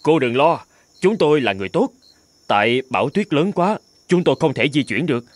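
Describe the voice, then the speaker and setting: An actor playing a young, easygoing and polite male traveller in an animated cartoon, with expressive delivery. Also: fairly high pitch, brisk, no echo. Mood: polite, reassuring. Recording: music behind.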